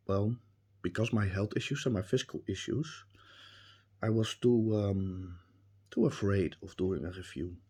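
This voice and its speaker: A man with a Dutch accent, a native Dutch speaker, giving a hesitant, earnest spoken testimonial with many pauses and restarts. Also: lowish voice, warm tone, husky. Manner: earnest and emphatic. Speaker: reviewer.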